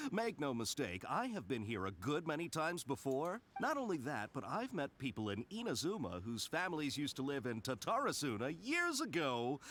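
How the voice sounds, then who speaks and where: An actor voicing an affable, theatrical engineer in a video game, chatty and jaunty with a touch of pomp. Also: male voice, medium pitch, fairly bright tone, slight background noise. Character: affable, theatrical foreign engineer eager to share knowledge.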